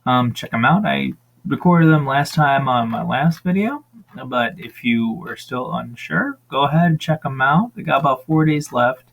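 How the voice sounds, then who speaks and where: A man with a raspy voice casually talking through crowdfunding pages for tabletop games, with lots of ums and uhs. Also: mid-range voice, normal speed. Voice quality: frequent ums and uhs, relaxed rambling. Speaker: tabletop gaming channel host. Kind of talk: casual screen-share review.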